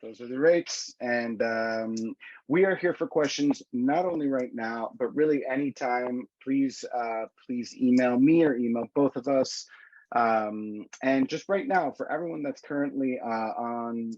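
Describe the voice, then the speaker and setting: A man's voice: medium pitch, measured pace, a little mellow, dry recording. A man walks viewers through trip prices on a webinar, loose and conversational, full of ums and false starts. His voice comes over a dull, lo-fi video call and sounds dry, with no room around it. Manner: casual, many fillers and restarts. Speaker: tour organizer. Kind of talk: webinar presentation. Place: indoors in a city.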